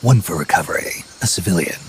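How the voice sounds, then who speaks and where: An actor playing a gruff, stoic middle-aged American soldier in a video game, with a gravelly growl. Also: male voice, sits low, lively intonation, fast talker, a little hiss or hum, low-quality recording. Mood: calm, dry. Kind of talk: dramatic video game acting.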